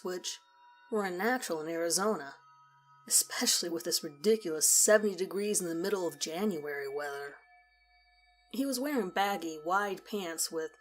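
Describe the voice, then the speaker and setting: A woman tells a first-person story as a narrator, with a middling pitch. Her delivery is conversational and measured, and music plays behind her voice. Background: background music.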